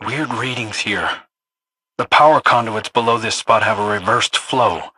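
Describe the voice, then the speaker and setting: An actor playing a wary, observant, troubled scout in a video game, with an understated, questioning delivery. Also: male voice, fairly low pitch, measured pace, sharp tone, breathy edge, heavily acted. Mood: wary, puzzled. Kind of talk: scripted video game dialogue.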